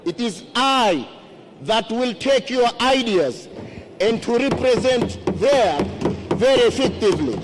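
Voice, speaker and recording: High-pitched Tanzanian man giving a formal speech. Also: lively intonation, measured pace, background noise. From Zanzibar, Tanzania. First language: Swahili. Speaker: member of parliament, candidate. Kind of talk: formal campaign speech to parliament.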